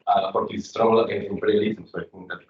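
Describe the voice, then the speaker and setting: A man's voice: lowish voice, steady tone, fast talker. A man from Ecuador asking a question from the seminar audience, searching for his words. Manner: searching for words, with many fillers. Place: seminar room. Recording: roomy, distant and muffled.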